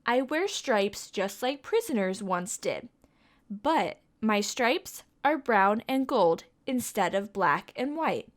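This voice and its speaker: A woman's voice: mid-range voice, big pitch swings, takes it slowly. A young woman reading a picture book aloud to children, with a sing-song, coaxing way of asking the listeners questions. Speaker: children's storytime reader.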